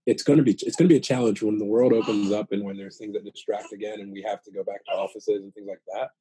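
An American man in his late thirties talking casually and sincerely, in a reflective, halting way, circling back over phrases as he thinks. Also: fairly low pitch, steady tone, warm tone, breathy edge, low-quality recording. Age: late 30s. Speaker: podcast guest, new father. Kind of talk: casual heartfelt chat.

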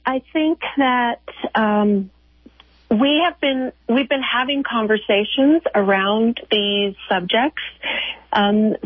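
A woman speaking as a curator in an interview, explaining in a measured, halting way over a phone line. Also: medium pitch, no room sound. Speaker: museum chief curator, interview guest. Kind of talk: unscripted explanatory interview answers. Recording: narrow tinny phone line.

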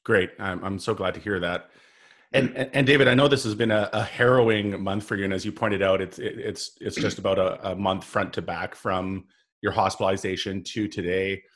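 Male podcast host with a low voice; hesitant, repetitive phrasing. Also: fairly even intonation, a bit bright. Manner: halting, repeats words when asking questions. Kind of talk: interview podcast.

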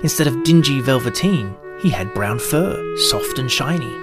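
A man reading a children's storybook aloud in a calm, measured, gentle way. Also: medium pitch, over loud music. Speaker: narrator. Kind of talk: scripted storybook narration.

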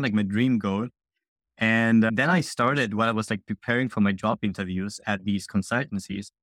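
Young male founder from Austria, casual and eager, slightly low pitch, dry close mic. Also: no room sound. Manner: upbeat, quick, chatty with many fillers. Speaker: guest, indie founder. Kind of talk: casual interview answers.

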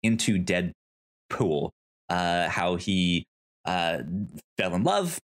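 A man hosting a podcast, casually recapping movies in a halting, stammering way with repeated word starts. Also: sits low, lively intonation. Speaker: podcast host.

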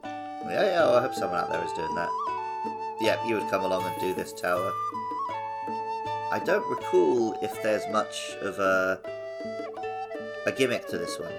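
A man's voice: lowish voice, sing-song, moderate pace, long pauses, loud music behind the voice. A man co-hosting a casual gaming podcast with wry, self-deprecating banter. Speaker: podcast co-host. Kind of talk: casual banter about video games.

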